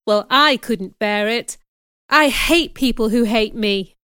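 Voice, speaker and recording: A female narrator acts a passionate young schoolgirl in a storybook. She is outspoken and sensitive, her high, clear voice full of emphasis and feeling. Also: slow pace, sharp tone, no echo, clean studio sound. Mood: indignant. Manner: emotional, emphatic. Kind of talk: audiobook character voice.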